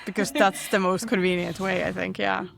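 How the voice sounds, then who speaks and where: A woman's voice: mid-range voice, flat delivery, moderate pace, creaky, crowd noise. A woman with a light Finnish accent co-hosts a casual podcast in a giggly, playful way. She keeps chiming in to agree and talks over a video-call setup. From Finland. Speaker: podcast co-host.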